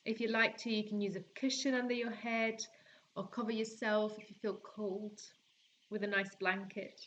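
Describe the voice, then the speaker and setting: A woman teaching yoga nidra, guiding a relaxation meditation in a gentle, soothing, unhurried way with long pauses. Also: medium pitch, dull audio, a little hiss or hum. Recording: birdsong and forest sounds behind voice. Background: calming forest nature sounds with birds.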